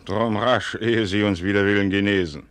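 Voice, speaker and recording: An actor playing a stern, calculating older duke in a classical radio play, with measured, formal stage diction. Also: male voice, lowish voice, fairly even intonation, a bit bright, no echo, close to an everyday voice. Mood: commanding. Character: stern, calculating older duke, commanding father and military ruler.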